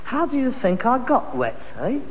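A man's voice: very high voice, sing-song, normal speed. An actor playing a frustrated grown son, working the rag-and-bone trade and ranting at his father in a 1960s radio sitcom. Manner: indignant, theatrical ranting. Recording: dull 1960s radio broadcast with hiss and a roomy reverb.

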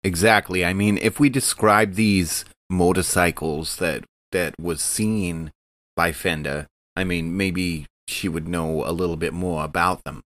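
A man's voice: low-pitched, steady tone, a little slow, a bit bright, dry recording, clean studio sound. A man playing in a tabletop game, talking casually with the group.

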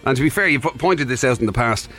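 Lively Irish radio host, dry. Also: male voice, medium pitch, fast talker, faint music behind. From Ireland. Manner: dry, teasing. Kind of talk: morning radio banter. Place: radio studio. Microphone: studio mic.

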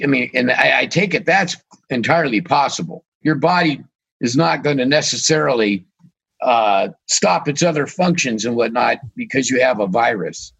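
A man co-hosting a radio talk show, losing his voice and asking halting questions full of fillers. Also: medium pitch, vocal fry, a bit bright, breathy edge.

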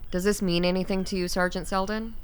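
An actress playing a composed, commanding woman doctor in a radio play, speaking in a measured, formal manner. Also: medium pitch, little pitch movement, clean tone, natural voice. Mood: firm, persuasive.

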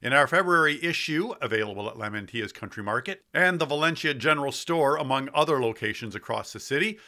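A man with a bright, forceful voice and a medium pitch works as a podcast host. He moves between conversational questions and presenting to the listener. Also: animated, fast.

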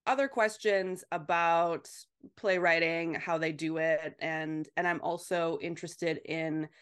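A woman answering as a podcast guest in casual, reflective chat, halting and then quickening. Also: lowish voice, fairly even intonation, clear, resonant tone.

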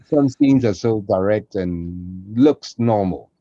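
Mellow, halting man on a dull recording. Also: fairly low pitch, animated, no room sound. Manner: halting, non-native phrasing. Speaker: podcast co-host, radio play performer. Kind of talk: casual chat.

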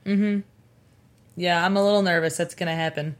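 A woman co-hosting a casual podcast, talking in a measured, conversational way. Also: lowish voice, steady tone. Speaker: podcast co-host. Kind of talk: casual chat.

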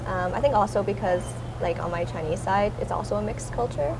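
A woman's voice: medium pitch, lively intonation, brisk. A young woman, an art student, answers interview questions casually and hesitantly, with many "like" and "um" fillers. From Toronto area, Canada. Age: young adult. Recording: noisy recording.

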